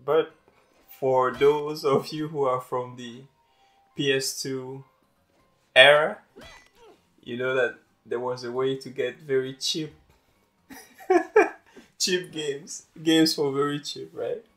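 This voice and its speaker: Man, French native, slow deliberate pace, rambling game commentary, faint music behind. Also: mid-range voice, fairly even intonation, a bit bright. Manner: chatty, rambling, repeats words. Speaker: gaming channel host. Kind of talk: casual gameplay commentary.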